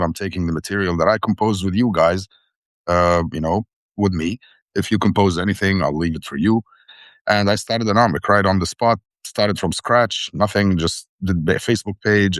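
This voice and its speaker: Deep-voiced man, dry sound. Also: no room sound. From Syria. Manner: rambling, earnest, restarts often. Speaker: band founder and vocalist. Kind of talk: interview guest, casual storytelling. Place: living in the Netherlands.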